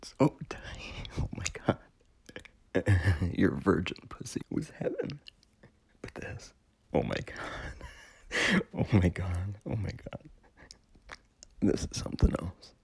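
A man playing a domineering, menacing lover in intimate erotic audio roleplay, murmuring in halting phrases with a breathy, husky voice. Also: sits low, sing-song, a little mellow, exaggerated voice. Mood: aroused. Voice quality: breathy, husky, gravelly whisper.

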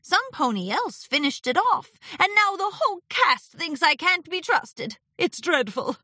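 A woman performs a theatrical male chaos creature from a children's storybook. The voice is high and bright, with big dramatic swings. He sounds haughty and wounded, savoring every florid phrase. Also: very animated, unhurried, dry recording, studio quality, exaggerated voice. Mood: sulky, wounded. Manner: haughty, melodramatic, florid wording.

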